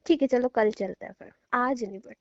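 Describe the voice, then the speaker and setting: A woman's voice: on the high side, moderate pace, a little mellow. A young woman bantering playfully with her boyfriend in a casual phone call. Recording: dull lo-fi call recording.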